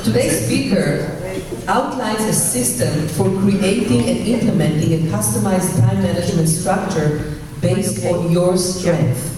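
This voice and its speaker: A host reads a formal, welcoming introduction of the day's speaker. The voice sits at a mid pitch and comes from some distance, with a long hall reverb and the murmur of a crowd behind it. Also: unhurried. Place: meeting room with audience. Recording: echoey hall, distant mic, crowd noise.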